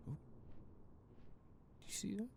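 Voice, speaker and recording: A man giving hushed, low-key ASMR game commentary, murmuring softly and sometimes dropping into a whisper. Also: a little slow, a little hiss or hum. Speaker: video game streamer.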